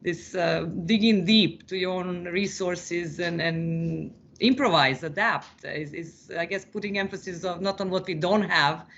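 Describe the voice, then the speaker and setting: A man's voice: high voice, a little slow, a bit bright, muffled recording. A man moderating a webinar panel, thoughtful, often repeating words while he searches for them.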